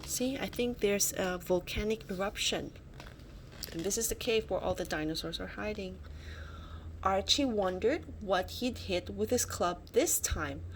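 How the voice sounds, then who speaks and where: A woman reading a children's picture book aloud, dramatic and expressive, adding little asides as storyteller. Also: mid-range voice, unhurried. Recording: faint background noise.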